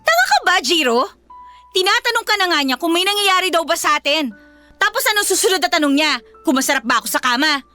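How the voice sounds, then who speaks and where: A young Filipino girlfriend with a hot, jealous temper. Her voice is very high and piercingly bright, and she hurls scolding questions in radio drama style, with faint music underneath. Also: lively intonation, quick pace, full character voice. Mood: furious, scornful. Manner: confrontational, scolding, emphatic rhetorical questions.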